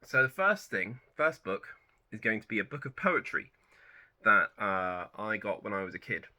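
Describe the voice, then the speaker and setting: A man in his thirties hosting a book channel, chatting casually about personal favourites in a relaxed, rambling way that often corrects itself. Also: on the low side, moderate pace. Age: 30s. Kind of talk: casual personal chat to camera.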